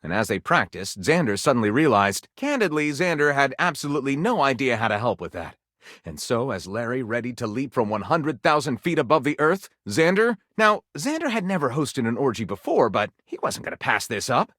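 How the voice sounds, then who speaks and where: A man's voice: medium pitch, sing-song, brisk, a bit bright, no room sound, studio quality. A man playing a theatrical, tongue-in-cheek narrator of pulpy detective tales in a video game, arch and savoring every dramatic turn.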